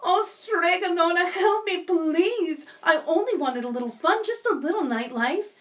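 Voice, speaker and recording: A woman's voice: very high voice, expressive, a little slow, voice pushed up. A woman voicing a vain, clumsy young man who panics easily, in a read-aloud storybook. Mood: pleading. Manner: pleading, breathless cries.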